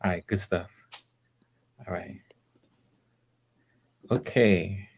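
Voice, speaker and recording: Low-voiced male audition host. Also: steady tone, husky. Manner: encouraging, halting, repeats phrases. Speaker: host of live voice auditions, director giving notes. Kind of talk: casual live coaching over a stream.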